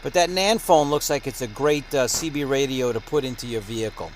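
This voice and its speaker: A man's voice: medium pitch, brisk, a little hiss or hum, poor audio. A man hosting a conversational product review, presented in the manner of a radio show. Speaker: host of a radio gear review channel.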